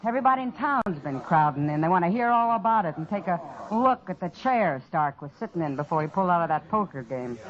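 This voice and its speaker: A woman's voice: fairly low pitch, lively intonation, quick pace, hardly any pauses, lightly acted. An actress playing a worldly saloon woman in an old-time radio western, frank and familiar. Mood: matter-of-fact. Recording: dull old radio broadcast recording.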